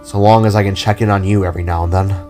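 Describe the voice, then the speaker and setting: A shy young hero, as a man reads him, talking in a low, unsure voice. He hesitates and sounds nervous about himself, in a homemade fan audio drama with faint music behind. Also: steady tone, racing, a little mellow, lightly acted. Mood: hesitant.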